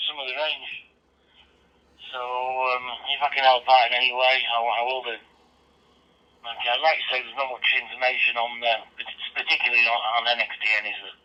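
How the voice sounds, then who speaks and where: A man chatting casually as an amateur radio operator, explaining things in a hesitant, rambling way. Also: medium pitch. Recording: muffled handheld two-way radio audio.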